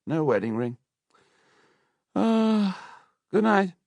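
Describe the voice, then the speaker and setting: Man acting an offhand, high-toned parish surgeon. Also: very animated, slow, vocal fry, a little mellow, no room sound, full character voice. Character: detached world-weary male parish surgeon.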